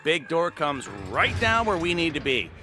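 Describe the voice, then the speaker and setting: Panicky, high-voiced nervous body double. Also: male voice, big pitch swings, normal speed, bright, forceful tone. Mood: exasperated. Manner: nervous, sarcastic, rambling. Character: panicky, rambling, cowardly young male body double. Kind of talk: animated comic game cutscene acting. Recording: music behind the voice.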